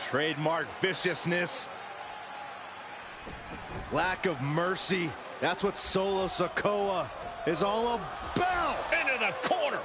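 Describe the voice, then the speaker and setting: A man calling wrestling as a commentator, with excited play-by-play delivery. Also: high voice, slow, long pauses. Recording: very noisy game broadcast audio.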